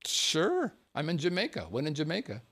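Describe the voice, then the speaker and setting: A man from the United States, a show host, telling a personal anecdote in a casual, animated way and chuckling as he goes. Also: on the high side, moderate pace, husky. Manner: animated storyteller, chuckles through his words.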